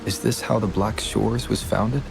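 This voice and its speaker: A man's voice: on the low side, fairly even intonation, a little slow, gravelly, music in the background. A man voicing a reflective young male protagonist in a video game, musing in a subdued way. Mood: pensive. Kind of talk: scripted video game dialogue.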